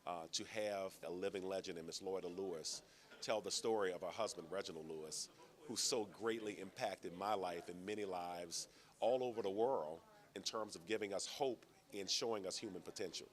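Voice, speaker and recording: A university system official speaking earnestly to camera, with lofty, inspirational phrasing. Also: male voice, deep voice, normal speed. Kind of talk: interview statement to camera.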